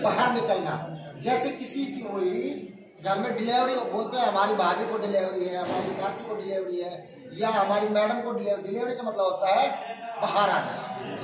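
Male workshop instructor, 40s, step-by-step explanations, filmed from a distance, crowded echoey workshop. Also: sits high. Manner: explains step by step, keeps asking if students understood. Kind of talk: hands-on technical lesson to students. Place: crowded indoor workshop, students standing around. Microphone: far phone camera. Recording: echoey room, voice from afar, crowd noise.

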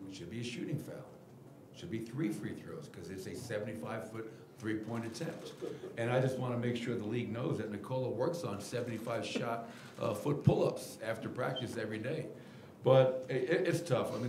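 A middle-aged man, a basketball head coach, answering reporters' questions at a post-game press conference podium with a table mic, candid and animated. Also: fairly low pitch, reverberant, noisy background. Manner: candid, animated, gestures while explaining. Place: press room at team podium. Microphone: table mic in front of him.

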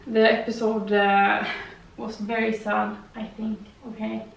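A woman's voice: mid-range voice, little pitch movement, measured pace, vocal fry, distant mic. A young woman, chatting casually and rambling while she does yoga in her living room. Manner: rambling, chatty, frequent "okay?" tags. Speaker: vlogger. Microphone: far camera. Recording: echoey room, voice from afar.

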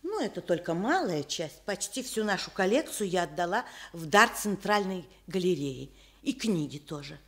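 An actress playing a kindly, chatty old professor's widow in a Soviet radio play, effusive and genteel. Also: female voice, lowish voice, big pitch swings, moderate pace. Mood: welcoming, reminiscing. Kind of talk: Soviet radio theatre acting.

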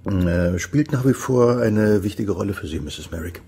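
A discreet older gentleman in a radio play, gently probing. His voice is lowish, very husky and full of gravel. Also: expressive, moderate pace, full character voice. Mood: probing, careful. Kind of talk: German radio play acting.

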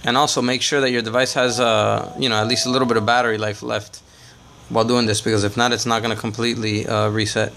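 Relaxed man walking through a tutorial. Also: mid-range voice, fast talker, vocal fry, a little hiss or hum. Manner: relaxed, plain-spoken, frequent "uh" and "all right". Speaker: tech tutorial host. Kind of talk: casual step-by-step tutorial. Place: indoors at a table, off camera.

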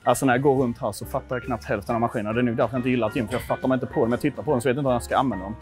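Male gym vlogger, twenties, from Sweden, average pitch, informal self-deprecating chat with swearing, clear music bed. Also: fairly even intonation. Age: 20s. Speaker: vlogger testing his lifts at a gym. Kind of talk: casual vlog chat to viewers. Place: indoor gym.

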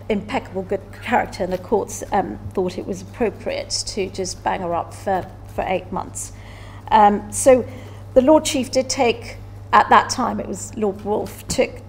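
A woman's voice: medium pitch, darkish tone. A middle-aged woman giving a seminar talk as a legal panelist, hesitant and often repeating words. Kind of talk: seminar talk on case law. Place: indoor seminar room, seated at table.

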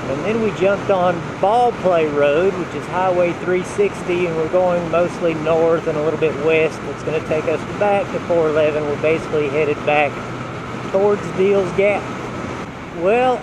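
A man narrating his motorcycle ride from a helmet mic in a relaxed, unhurried way, with wind and engine noise. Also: high-pitched. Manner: relaxed, unhurried, talks while riding. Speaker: motovlogger. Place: on a motorcycle outdoors. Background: road and wind noise.

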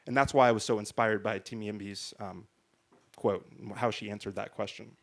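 A man's voice: fairly low pitch, steady tone, normal speed. A man moderating a panel discussion on stage in front of an audience, talking into a handheld mic in a conversational way, often asking "right?" and restarting his sentences. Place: large hall stage before an audience.

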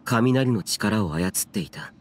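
A man's voice: lowish voice, expressive, measured pace, slight background noise. A man voicing a stern, solemn immortal warrior spirit in an anime game, with terse, archaic, grave phrasing. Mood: grave, restrained. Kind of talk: Japanese anime game voice acting.

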